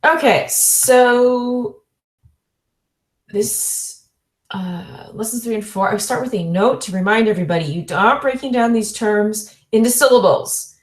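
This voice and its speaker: A woman's voice: fairly high pitch, a little slow. Woman in her forties, online lecturer, rambling and friendly, filler-heavy, roomy echo. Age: 40s. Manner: chatty, many fillers and self-corrections; laughs lightly between points.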